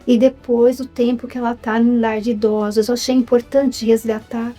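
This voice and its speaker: A woman's voice: a little high, level tone, moderate pace, warm tone, faint music behind. A Brazilian-accented woman, a guest in a casual interview, telling her story in an upbeat way. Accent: Brazilian Portuguese. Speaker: guest, writer and film producer.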